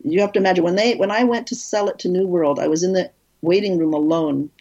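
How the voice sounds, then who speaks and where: A woman film director, an interview guest, telling animated stories in casual conversation with frequent restarts. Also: fast, highs cut off. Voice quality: husky, low.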